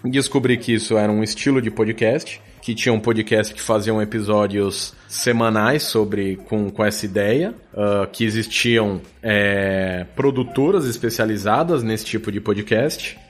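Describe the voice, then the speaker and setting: A man's voice: lowish voice, unhurried, hardly any pauses. A man with a Brazilian accent talking casually as a podcast guest, informal and slangy, with a joking touch. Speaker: podcast guest, audio drama producer. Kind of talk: casual conversational interview.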